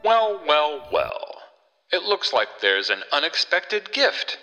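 A man's voice: fairly high pitch, sing-song, slow, sharp tone. A man giving a theatrical scripted introduction as host of a radio anthology. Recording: long reverb over music. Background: faint music.

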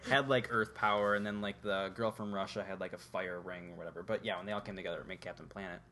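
A man's voice: low-pitched, steady tone, fast, fairly bright tone, a little hiss or hum. Rambling young guy, casual podcast chat. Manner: rambling, lots of "like" fillers. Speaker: podcast co-host.

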